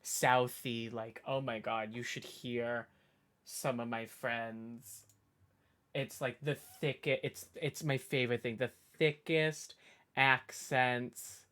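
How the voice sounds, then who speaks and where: A woman's voice: very deep voice, fairly even intonation, slow pace, fairly bright tone. Chatty streamer woman with a light Boston accent. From suburbs outside Boston. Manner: chatty, rambling, lots of restarts and fillers. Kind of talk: casual chat with stream chat.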